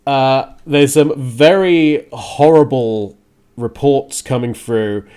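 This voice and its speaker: A man presents his own radio show and podcast in a casual, unscripted way. His voice sits at a middling pitch. He rambles conversationally, stopping and starting, and leans into opinionated ranting. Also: slow pace, mellow, clean tone. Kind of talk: casual solo podcast talk, partly ranting.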